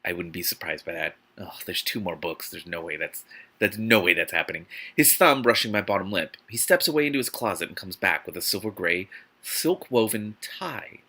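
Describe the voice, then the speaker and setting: A man reads aloud in an intensely bright, sharp voice. His pitch swings widely and theatrically. He hosts the reading like a performance, interrupting it with mocking, exasperated commentary. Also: normal speed, breathy edge. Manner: animated, theatrical, incredulous, swearing, sarcastic asides.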